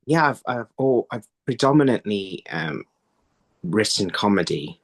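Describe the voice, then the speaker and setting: A man in his thirties giving conversational interview answers over a video call, haltingly, thinking aloud and repeating words. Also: fairly low pitch, lively intonation. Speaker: writer being interviewed. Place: indoor room, video call. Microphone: phone on a video call.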